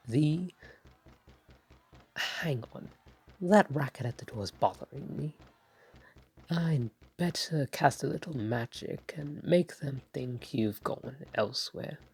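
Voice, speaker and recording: A woman playing a bashful, doting god of love in an ASMR roleplay, speaking softly and breathily with a hesitant, tender, playful manner. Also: rumbling low, full character voice. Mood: reassuring, playful. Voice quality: soft, breathy, partly whispered. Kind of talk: ASMR roleplay spoken to the listener.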